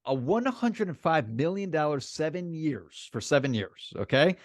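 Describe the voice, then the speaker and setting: Male sports podcast co-host, raised pitch, dry recording, emphatic and repetitive delivery, conversational. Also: big pitch swings, normal speed, no room sound. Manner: emphatic, repeats phrases for effect. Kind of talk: casual sports chat.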